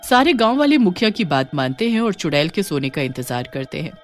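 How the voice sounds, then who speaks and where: A woman's voice: lowish voice, sing-song, a little fast, soft background music. A woman storyteller reading a scripted horror story narration.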